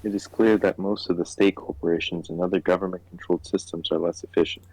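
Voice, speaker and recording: A man voicing a measured government economic minister in a fan reading of a political game, speaking formally and explaining. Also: low-pitched, steady tone, darkish tone, poor audio. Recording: music behind the voice.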